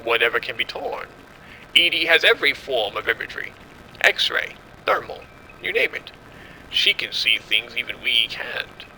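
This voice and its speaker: Man acting as confident scientist, very bright sharp voice. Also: mid-range voice, lively intonation, moderate pace, a little hiss or hum, low-quality recording. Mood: assured, proud. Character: confident male scientist running a secret military experiment. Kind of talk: audio drama acting.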